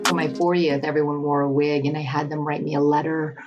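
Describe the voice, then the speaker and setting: A woman's voice: low voice, flat delivery, brisk, dull audio, loud music behind the voice. A woman in her forties talking candidly in a casual interview, laughing often. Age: 40s. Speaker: guest. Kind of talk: casual conversation.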